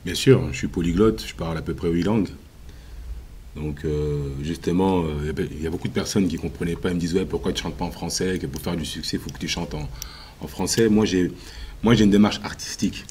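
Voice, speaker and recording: A man answering questions as a musician guest in a TV interview, confident and emphatic, with frequent filler pauses. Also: sits low, a little mellow, a little hiss or hum. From Congo.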